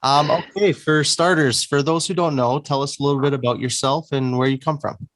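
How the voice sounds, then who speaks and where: A man's voice: on the high side, fairly even intonation, brisk, no echo. A man hosting a casual interview, upbeat, asking questions with some restarts. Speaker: interview host.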